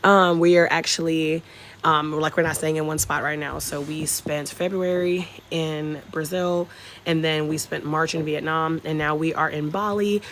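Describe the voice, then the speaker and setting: A woman's voice: low-pitched, fairly even intonation, moderate pace, fairly bright tone, slight background noise. A young woman from North Carolina with a Southern accent, chatting casually with live-stream viewers from an outdoor hotel patio on her phone. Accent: Southern American. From North Carolina, USA. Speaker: travel vlogger live-streaming.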